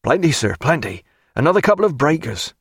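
A man's voice: a little high, very animated, a little fast, creaky, fairly bright tone, breathy edge, dry recording, studio quality, pitched above the performer's own voice, exaggerated voice. A man voicing plain-spoken, hearty seamen in an audiobook, with rustic, deferential talk. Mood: hearty, concerned. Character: plain-spoken, hearty male sailors of an old warship's crew. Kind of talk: audiobook character voice.

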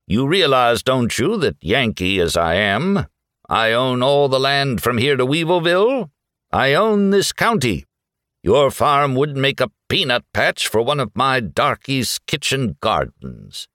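A man voicing a stubborn, genteel Southern belle with a soft, lilting Southern US accent in a story reading. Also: mid-range voice, sing-song, measured pace, no echo, clean studio sound, voice pushed up. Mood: coy, proud. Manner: coy, genteel, breathy when moved.

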